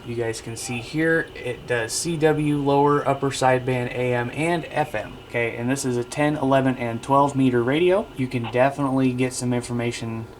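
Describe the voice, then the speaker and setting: A man with a mid-range voice hosts an informal how-to video. He explains gear conversationally, addressing viewers directly. The recording carries faint background noise. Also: medium pitch. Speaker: video host. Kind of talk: casual instructional talk, demonstrating radio gear.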